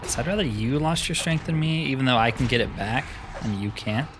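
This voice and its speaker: A man's voice: mid-range voice, expressive, fairly quick, background noise. A man giving casual, mildly exasperated commentary while playing a video game, thinking aloud as he goes. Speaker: gaming video host.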